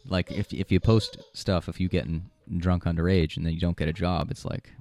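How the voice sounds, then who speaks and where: A young man with an American accent, co-hosting a talk show with informal, opinionated, halting chat. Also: deep voice, steady tone, a little mellow, no room sound.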